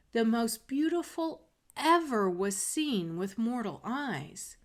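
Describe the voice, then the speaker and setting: A woman voicing a haughty, scornful young stepsister in a fairy tale, boastful and emphatic, with old-fashioned wording. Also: fairly high pitch.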